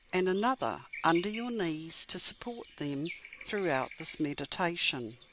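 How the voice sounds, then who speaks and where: Slow-paced woman guiding a meditation. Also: lowish voice. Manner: measured, gentle, soothing delivery with long pauses. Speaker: meditation guide. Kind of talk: guided meditation narration. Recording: clear background noise with birdsong. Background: birdsong and chirping.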